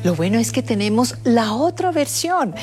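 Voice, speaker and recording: Over clear background music comes a woman acting as a syrupy Colombian agony aunt in a radio comedy. Her voice is on the high side, and she gushes theatrically as she counsels the heartbroken. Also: big pitch swings, moderate pace, darkish tone. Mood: gushing, sympathetic. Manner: gushing, theatrical. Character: syrupy female love-advice agony aunt, radio sketch. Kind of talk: comedy radio advice segment. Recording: music playing behind the voice.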